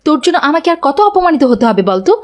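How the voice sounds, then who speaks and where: Woman as a fast-talking, stern cartoon mother. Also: high voice, sing-song, a little mellow. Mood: angry. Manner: scolding, preachy. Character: stern, caring mother of a young girl. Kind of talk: children's moral cartoon dialogue.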